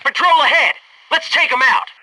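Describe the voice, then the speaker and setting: A man's voice: very high-pitched, normal speed, noisy background. An American man voicing a wisecracking, gung-ho special forces soldier in a video game, with a sarcastic, informal delivery. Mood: urgent, alert. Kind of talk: video game combat barks and quips.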